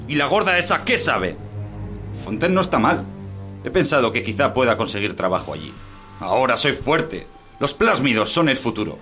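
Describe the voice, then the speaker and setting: An actor playing a vain, short-tempered working-class husband, defensive and domineering, in an audio drama. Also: male voice, medium pitch, big pitch swings, moderate pace, bright, forceful tone, soft background music. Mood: irritated.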